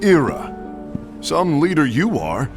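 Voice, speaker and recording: An actor playing a scornful, hot-headed rival in a video game, confrontational and sneering. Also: male voice, a little high, big pitch swings, slow pace, over music, exaggerated voice. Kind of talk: video game cutscene acting.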